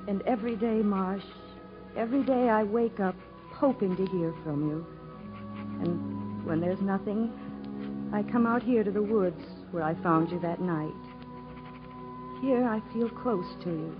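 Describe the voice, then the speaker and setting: An actress playing a lonely, tender wife pleading with longing in a 1950s radio melodrama. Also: female voice, medium pitch, lightly acted. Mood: yearning, pleading. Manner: slow, yearning, pleading. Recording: dull 1950s radio recording over music. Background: loud dramatic music.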